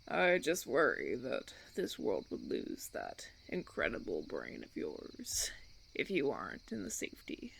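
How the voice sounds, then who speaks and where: A man playing a suave, menacing vampire recruiter in an audio roleplay, speaking deliberately with theatrical, patronizing persuasion. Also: mid-range voice, huge pitch swings, creaky, fairly bright tone, a little hiss or hum, voice pushed down, heavily acted. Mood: ominous concern. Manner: theatrical, deliberate, silky persuasion with veiled threats. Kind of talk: audio roleplay, ASMR monologue.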